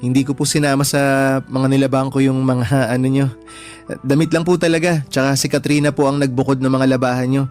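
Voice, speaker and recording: A man's voice: medium pitch, fairly even intonation, brisk, a little mellow, close to an everyday voice. A man playing a humble, patient young janitor in a Filipino radio drama, speaking politely and earnestly with a Filipino accent. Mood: earnest pleading. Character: humble, patient young janitor pleading with girlfriend's disapproving mother. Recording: music bed under the voice.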